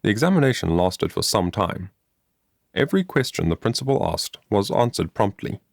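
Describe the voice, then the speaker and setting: Male audiobook narrator, low with vocal fry, measured and moralizing storytelling. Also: very animated, dry recording, studio quality.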